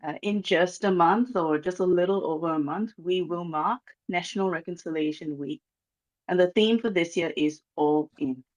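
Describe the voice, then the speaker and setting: A speaker from Malaysia with a Malaysian accent, giving an earnest, measured address over a video call, pausing often with um. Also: mid-range voice, rough recording. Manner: earnest, measured, many um pauses. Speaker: guest speaker from a reconciliation organization. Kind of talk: formal address at a launch event.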